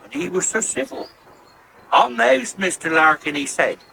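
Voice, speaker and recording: Gravelly, high-pitched old West Country farmhand in a radio soap, grumbling and naive, some tape hiss. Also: male voice, animated, normal speed, sharp tone, exaggerated voice, poor audio. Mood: worried. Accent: English West Country rural. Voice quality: creaky, gravelly. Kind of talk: 1980s radio soap acting. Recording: hissy 1980s radio broadcast recording.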